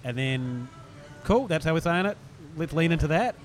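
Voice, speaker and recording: A man's voice: a little high, animated, normal speed, soft background music. A man with a New Zealand accent chatting informally as a podcast host, self-deprecating and happy to swear. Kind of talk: casual podcast chat.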